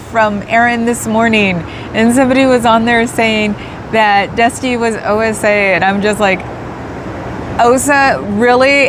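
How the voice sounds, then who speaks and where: A woman's voice: on the high side, noisy background. A woman chatting casually on a livestream, thanking viewers by name and laughing often. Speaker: livestream host. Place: in a parked car outdoors.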